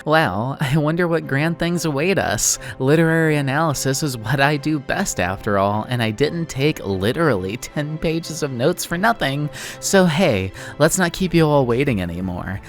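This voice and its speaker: A man's voice: fairly high pitch, lively intonation, measured pace, hardly any pauses. A man giving a casual video essay commentary, sardonic and self-deprecating, with informal wording. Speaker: online video essayist, host of the channel. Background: background music.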